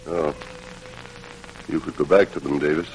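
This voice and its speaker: An actor playing a persuasive army captain pleading earnestly with a prisoner, in old-time radio drama style. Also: male voice, rumbling low, fairly even intonation, moderate pace. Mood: persuading, pleading. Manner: urgent, imploring, earnest. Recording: noisy vintage radio drama recording.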